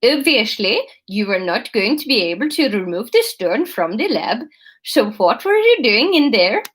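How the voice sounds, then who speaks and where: A woman voicing a stern, suspicious female interrogator in a story, with an attempted Dutch accent and halting, deliberate delivery. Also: on the high side, sing-song, fairly bright tone, husky, heavily acted. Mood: commanding, probing.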